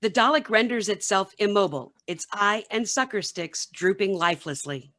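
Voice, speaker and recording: A woman's voice: medium pitch, expressive, moderate pace, a bit bright. A woman narrating scripted stage directions for a radio play.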